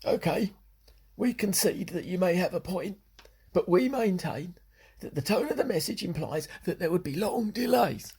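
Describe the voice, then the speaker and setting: A performer acting in an amateur radio play as a dotty senior with a husky, rough voice and a deliberate, solemn manner. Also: medium pitch, expressive, darkish tone. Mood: insistent. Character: dotty senior who speaks as half of a pair.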